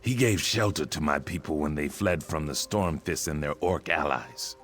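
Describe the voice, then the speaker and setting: A man playing a proud, aggrieved reptilian warrior in a video game, speaking in a solemn, deliberate way. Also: a bass voice, a bit bright. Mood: bitter, proud. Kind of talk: video game character dialogue. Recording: music behind the voice.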